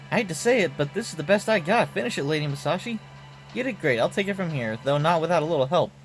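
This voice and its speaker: A man giving commentary on a video game as he plays and reading its dialogue aloud. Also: fairly high pitch. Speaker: streamer playing and commenting on a game.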